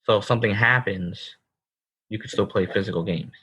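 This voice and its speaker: A man's voice: on the low side, fairly quick, pushed and bright, slightly rough, low-quality recording. Emphatic man in his thirties. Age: 30s. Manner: emphatic, calls the other host bro. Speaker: podcast co-host. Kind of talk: casual chat.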